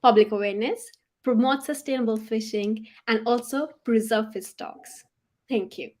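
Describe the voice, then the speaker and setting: A woman's voice: on the high side, normal speed, darkish tone. A woman in her twenties giving a rehearsed self-introduction as a pageant contestant, earnest in tone. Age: 20s. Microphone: video call.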